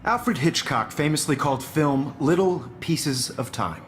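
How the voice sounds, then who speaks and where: An actor playing a middle-aged American photography teacher in a video game, smug, theatrical and predatory. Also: male voice, a little high, animated, unhurried, faint music behind. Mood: smooth lecturing. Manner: theatrical, lecturing.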